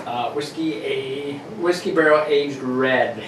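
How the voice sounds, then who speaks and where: A man casually hosting a relaxed group chat and introducing what is coming up. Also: medium pitch, slow pace. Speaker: host. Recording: roomy, distant mic, noisy home recording.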